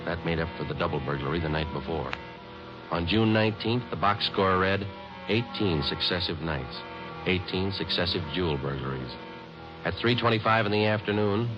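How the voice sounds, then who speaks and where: A man's voice: low voice, natural voice. An actor playing a dedicated male police sergeant who narrates in a clipped, matter-of-fact way, in a 1950s radio drama. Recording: dull old radio broadcast with music.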